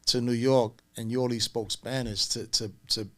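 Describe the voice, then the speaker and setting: A man's voice: mid-range voice, fairly even intonation, moderate pace, fairly bright tone. A man co-hosting a podcast, easygoing, chatting casually with the guest. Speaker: podcast co-host. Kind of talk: casual chat.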